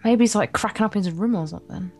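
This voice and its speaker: A woman's voice: medium pitch, racing, mellow. A woman reading visual novel lines aloud in a casual let's play, with sarcastic, irreverent asides. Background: game music.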